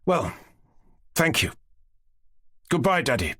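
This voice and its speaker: A man voicing a reserved young male gentleman in an audiobook, with clipped, restrained speech. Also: fairly high pitch, sing-song, takes it slowly, no echo, studio quality, pitched above the performer's own voice, heavily acted. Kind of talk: audiobook character voice.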